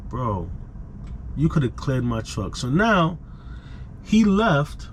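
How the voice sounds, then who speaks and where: A man speaks at a slow pace in a medium-pitched voice, telling a personal story in a casual vlog style. He repeats phrases for emphasis as he retells things. Faint vehicle noise sits behind him on an action camera microphone. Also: big pitch swings. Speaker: vlogger, truck driver.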